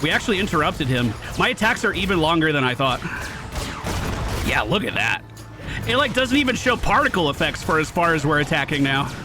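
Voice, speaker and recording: A man's voice: fairly high pitch, big pitch swings, brisk. A man giving casual, chatty commentary while he plays a video game, now and then swearing or laughing. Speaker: gaming video creator. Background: game music.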